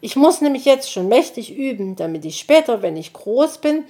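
A woman voicing an excited little boy hedgehog who chatters eagerly. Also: a little high, moderate pace, voice pushed up.

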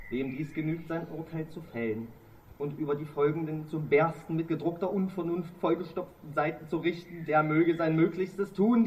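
Man, 30s, author reading his own book, ironic and mock-lofty, slightly high voice, distant mic with faint hiss. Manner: wry, mock-grand reading. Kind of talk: reading aloud his own travel writing.

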